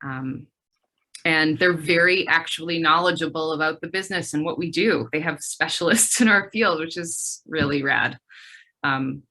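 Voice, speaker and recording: A woman hosting a relaxed conversation about a graduate program, talking in a chatty, upbeat way with frequent fillers. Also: low-pitched, normal speed. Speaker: graduate program faculty host. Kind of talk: casual video-call chat.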